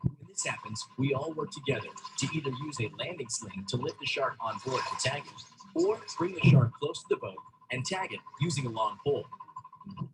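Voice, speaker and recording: Lively male narrator over a noisy played video. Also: medium pitch, brisk. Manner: steady, explanatory. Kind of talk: scripted narration.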